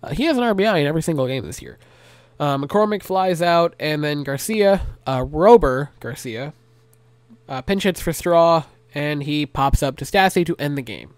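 A man's voice: fairly high pitch, moderate pace, no room sound. Congested young man recapping his team's game. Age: young adult. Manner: rattles off stats, then animated pep talk. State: congested, nasally stopped up. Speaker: sports recap youtuber. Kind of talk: solo game recap vlog. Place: small home room. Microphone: large desk mic close to mouth.

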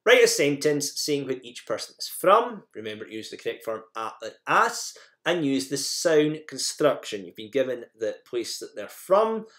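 A man's voice: medium pitch, very animated, moderate pace, a bit bright. A man presenting a language lesson in an informal, instructional manner, guiding learners through slides and examples.

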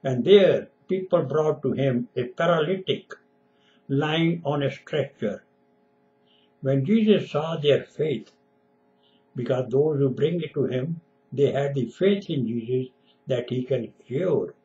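A man's voice: medium pitch, slow pace, warm tone, dull audio, a little hiss or hum. An older man whose first language is Punjabi, preaching emphatically and repetitively in a sermon recorded at home on a webcam. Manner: emphatic, repetitive, gestures with hands. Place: room at home, window behind.

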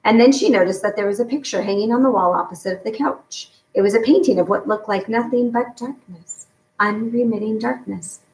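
A woman's voice: a little high, fairly quick, a little mellow. A middle-aged woman reading a children's novel aloud as a storyteller. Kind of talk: read-aloud narration of a children's book. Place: home garage, indoors. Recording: echoey room, distant mic.

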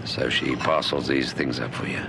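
An actor playing a kindly, patient police warrant officer in a radio drama, speaking in a plain, reassuring manner. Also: male voice, rumbling low, fairly even intonation, moderate pace. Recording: noisy old radio drama recording.

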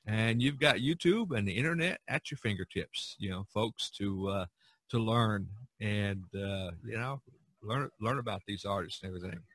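A man's voice: fairly low pitch, normal speed, fairly bright tone, dry recording. A man hosting a casual podcast chat among artist friends, rambling a little and repeating his phrases. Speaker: podcast host.

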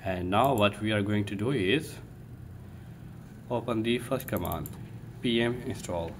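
A man presenting an informal step-by-step tech tutorial in a halting way, thinking aloud as he works. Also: on the low side. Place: indoors at a computer desk. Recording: steady room noise.

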